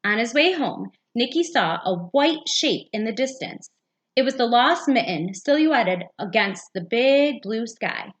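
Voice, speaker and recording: A woman's voice: fairly high pitch, animated, unhurried. A woman, a teacher, reading a picture book aloud for young children. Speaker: second-grade teacher.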